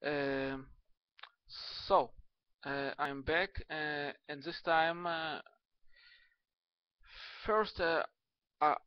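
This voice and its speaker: A young man with a Russian-tinged accent talks slowly and haltingly, full of fillers and restarts. His voice sits a little high for a man. The recording is dry and slightly dull. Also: fairly even intonation, clean tone, no room sound. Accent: Russian and Hebrew. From Ukraine. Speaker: video blogger. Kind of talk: casual to-camera talk.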